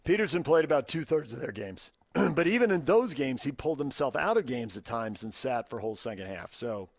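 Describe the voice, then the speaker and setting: A man's voice: mid-range voice, lively intonation, fairly quick, creaky, no room sound. American guy cohosting sports radio, opinionated, argues point by point, really dry studio sound. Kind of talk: unscripted sports debate.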